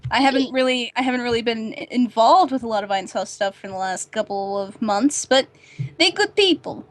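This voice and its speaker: A woman with a high voice chats casually among friends on a stream. Her way of talking is playful and slangy. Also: fairly quick. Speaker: streamed radio drama participant. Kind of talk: casual chat.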